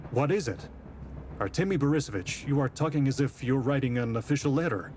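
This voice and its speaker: An actor playing a composed, skeptical detective in a visual novel, dry and questioning. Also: male voice, mid-range voice, a little fast, a little mellow, a little hiss or hum, natural voice. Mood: dismissive, puzzled. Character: skeptical, composed male detective of imperial Russia. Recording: voice over prominent background music.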